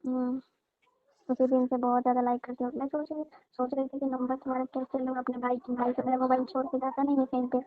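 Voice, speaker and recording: High-pitched young woman on a phone. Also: monotone, quick pace, no echo, faint music behind. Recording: tinny narrowband phone line.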